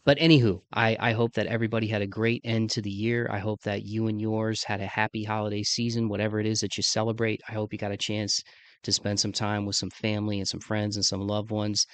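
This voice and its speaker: A man's voice: fairly low pitch, completely flat delivery, fairly quick, fairly bright tone, no echo. A man in his mid-thirties with a congested voice, chatting casually and slangily as the host of a podcast. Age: mid-30s. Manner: laid-back slangy talk, says "man" often. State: congested from sinus trouble, voice deeper than usual.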